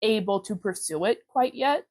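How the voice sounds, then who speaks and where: A woman's voice: mid-range voice, expressive, moderate pace, a little mellow, no echo, studio quality. A young woman in her twenties hosting a podcast, talking candidly and laughing often in casual chat. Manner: chatty, giggly, candid. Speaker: podcast host.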